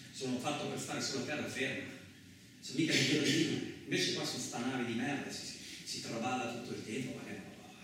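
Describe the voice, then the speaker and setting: A man's voice: mid-range voice, a bit bright, close to an everyday voice. Guy acting as grumpy trucker in a radio play, rambling and fed up, big echoey room, bit of noise. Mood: grumbling, weary. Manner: colloquial, rambling, repetitive, coarse interjections. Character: gruff, ranting male truck driver, seasick and fed up. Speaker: stage and radio actor. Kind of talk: theatrical radio monologue. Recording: very echoey, distant, noisy room recording.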